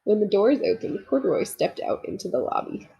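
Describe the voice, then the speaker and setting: A woman narrates a picture book for young children. Her voice is markedly husky with some fry, and her pitch moves in lively, expressive sweeps as she speaks to the listeners. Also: a little fast, rough recording. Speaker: storybook reader. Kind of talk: children's read-aloud narration with asides.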